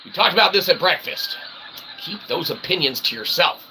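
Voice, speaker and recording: A man delivers a comic monologue as a show host, wordy and mock-grandiose. His voice is very bright and forceful, set somewhat high for a man. A faint noise sits behind it. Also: animated, normal speed, poor audio. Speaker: host of a comedic philosophy show.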